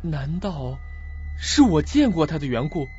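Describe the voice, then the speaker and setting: A man's voice: a little high, sing-song, unhurried, darkish tone. An actor playing a troubled, protective young male student in an audio drama, on a lo-fi recording. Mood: troubled, brooding. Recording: dull lo-fi recording with music.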